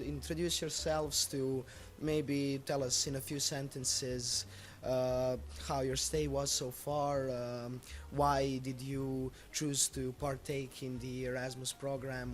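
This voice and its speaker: A young man with a Serbian accent hosting a radio interview in an unscripted, polite and hesitant way. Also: mid-range voice. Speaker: school radio host. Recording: music playing behind the voice.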